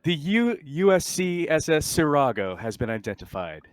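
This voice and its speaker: A man voicing a calm female ship's computer in a roleplaying game, making formal, measured announcements. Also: fairly high pitch, pitched above the performer's own voice.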